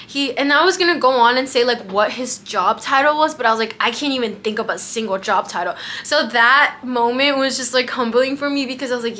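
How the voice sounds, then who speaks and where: A woman's voice: on the high side, pushed and bright, slight background noise. A young American woman whose first language was Spanish, chatting casually about her travels with lots of fillers and acted-out quotes. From United States. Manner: chatty, many "like" fillers, acts out quoted dialogue. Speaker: travel vlogger. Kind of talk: casual storytelling vlog.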